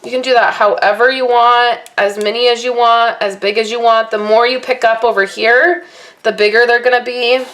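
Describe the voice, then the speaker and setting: A woman crafter with a fairly high, slightly hoarse voice talks through a card-making project in a casual, rambling way. She apologizes now and then, and she sounds like she is speaking at a home craft table under an overhead camera. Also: fairly even intonation, moderate pace, a bit bright. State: voice a little hoarse from allergies and little sleep. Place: home craft workspace, indoors.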